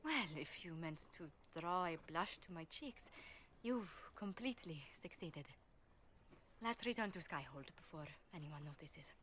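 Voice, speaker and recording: A woman playing a refined, polite and formal diplomat with a soft heart in a video game. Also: fairly low pitch, expressive, measured pace, slight background noise. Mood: flustered. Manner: polite, formal, easily flustered. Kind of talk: dramatic video game dialogue. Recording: game audio with background ambience.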